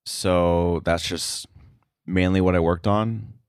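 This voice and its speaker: A man's voice: low-pitched, steady tone, slow pace, clean tone, dry recording, clean studio sound. A man talking casually as an interview guest, explaining his sound editing work in a thoughtful, somewhat hesitant way. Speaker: podcast guest, sound effects editor.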